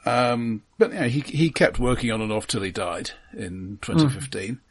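A man co-hosting a film podcast, talking casually in a dry, measured way. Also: mid-range voice, animated.

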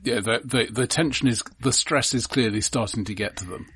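A man co-hosting a film podcast, explaining his points casually and analytically in a halting way. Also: medium pitch, fairly bright tone.

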